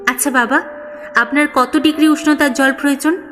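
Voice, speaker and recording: A woman's voice: sits high, steady tone, a little fast, fairly bright tone, pitched above the performer's own voice. A woman storyteller voicing a bold, indignant young daughter-in-law who asks pointed, challenging questions. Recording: long reverb, background music.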